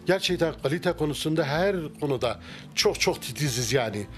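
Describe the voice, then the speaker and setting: A middle-aged man, a restaurant owner, answering interview questions in a relaxed, halting way with many fillers and restarts, inside a wooden-walled restaurant. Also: a little high, faint music behind. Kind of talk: casual interview. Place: wood-paneled restaurant interior. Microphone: handheld mic held by interviewer.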